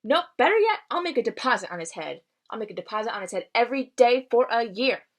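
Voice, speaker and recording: A woman voicing a feisty, protective female crow with a blunt, excitable, slangy manner in a storybook reading. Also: on the high side, fast. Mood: indignant.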